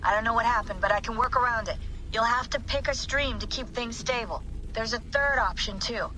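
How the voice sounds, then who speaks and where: An actress playing a focused technician in a video game, speaking in a natural, matter-of-fact, technical way. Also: female voice, fairly high pitch, brisk, sharp tone, poor audio. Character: brisk, focused female technician running a simulation.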